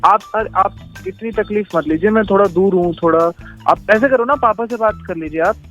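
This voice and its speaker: High-pitched young man, polite. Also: moderate pace, a bit bright, soft background music. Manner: polite, evasive. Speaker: prank call recipient. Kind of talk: casual phone conversation.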